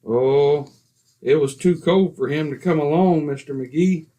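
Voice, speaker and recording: An actor playing a prim, formal older neighbor in a 1940s-style radio comedy. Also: male voice, a little high, measured pace, dark tone, close to an everyday voice. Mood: polite.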